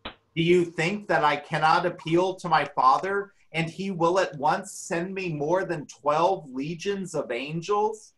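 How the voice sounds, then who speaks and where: A man with a fairly high voice reads scripture in a dramatic reading. His delivery is very slow. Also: a bit bright. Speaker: reader in a church dramatic reading.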